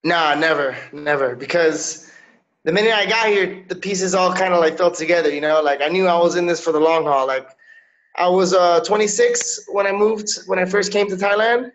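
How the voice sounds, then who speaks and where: A man's voice: high voice, normal speed. A man in his thirties from Miami, a fighter talking casually on a video call, peppering his speech with "yo" and "you know what I'm saying". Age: 30s. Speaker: MMA fighter, guest. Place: indoors, small room. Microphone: video call webcam. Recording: slightly dull lo-fi call audio.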